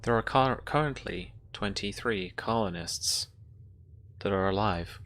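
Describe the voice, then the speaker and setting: A man voicing a ship's computer in a tabletop game, with formal, measured, obedient reporting. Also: fairly low pitch, fairly bright tone.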